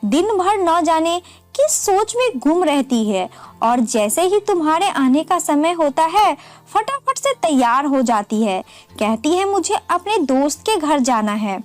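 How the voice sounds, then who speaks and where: A woman's voice: high voice, big pitch swings, a little slow. A woman narrator voicing a puzzled, complaining mother with a fretful manner in an audio story. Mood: puzzled complaint.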